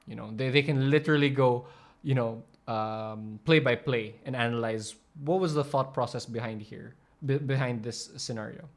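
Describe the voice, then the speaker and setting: A man's voice: medium pitch, moderate pace. Rambling man explaining with many fillers. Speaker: channel host explaining coaching. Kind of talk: casual commentary over a video.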